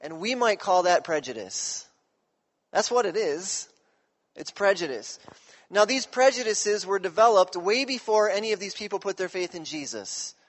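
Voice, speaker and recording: Male pastor, high-pitched, chatty preaching style, echoing sanctuary. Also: animated, moderate pace. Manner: conversational, checks in with listeners. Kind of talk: sermon.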